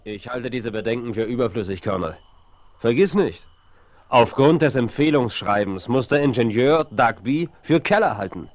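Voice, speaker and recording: A man's voice: mid-range voice, animated, normal speed, a little mellow, close to an everyday voice. Brash male bandit henchman, played by an actor. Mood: eager. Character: eager, gleeful male Western bandit henchman. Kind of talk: 1970s German radio play acting.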